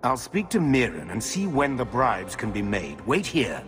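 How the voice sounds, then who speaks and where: A man's voice: medium pitch, big pitch swings, moderate pace, close to an everyday voice. An actor playing a shifty, cynical male uncle with a wry, dismissive manner. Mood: businesslike. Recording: game audio with music behind.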